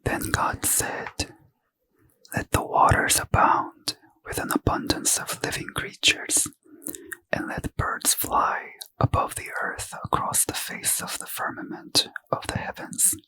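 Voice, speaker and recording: A man whispers a bedtime scripture reading for sleep, his voice almost entirely breath. The whisper is bright and hissy, and he takes each phrase slowly and gently. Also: poor audio. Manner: gentle unvoiced whisper, unhurried. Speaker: ASMR reader.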